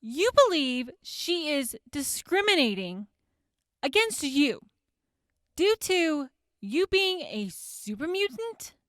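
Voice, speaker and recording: A woman playing a high, probing prosecutor. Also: big pitch swings, takes it slowly, no echo, clean studio sound, exaggerated voice. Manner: probing, skeptical questioning. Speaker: prosecutor questioning the defendant. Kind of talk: audio drama acting.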